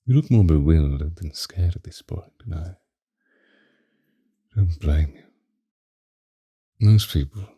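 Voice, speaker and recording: Man playing a very deep, seductive vampire. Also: dark tone, dry recording, studio quality, exaggerated voice. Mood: captivated, condescending. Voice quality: breathy, husky deep murmur. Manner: languid, archaic, theatrical, with lingering pauses. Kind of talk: ASMR roleplay, whispered close to the ear. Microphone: close microphone, dry.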